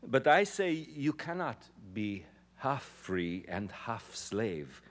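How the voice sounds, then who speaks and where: A man's voice: medium pitch, sing-song, takes it slowly. A middle-aged actor reading as a young male immigrant labor organizer pleading before a jury on a theater stage. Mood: impassioned. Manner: impassioned, swelling then dropping to hushed. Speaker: actor giving a dramatic reading. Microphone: podium microphone.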